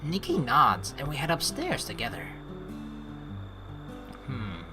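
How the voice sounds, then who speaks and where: A young woman hosts a game playthrough in a low but very animated voice. She switches between reading narration and expressive, sweary commentary. Faint music runs underneath. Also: takes it slowly. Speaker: let's play host.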